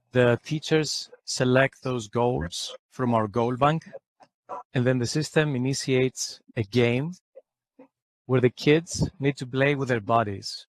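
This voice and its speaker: Calm man explaining his product. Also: medium pitch, no room sound, poor audio. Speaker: company founder guest. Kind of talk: explaining in an interview.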